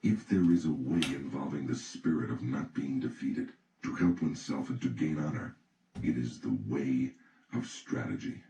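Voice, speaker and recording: A man reading an audiobook from a script in a solemn, deliberate, instructional way. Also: extremely low pitch, creaky. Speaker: audiobook reader. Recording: roomy, distant mic, faint noise.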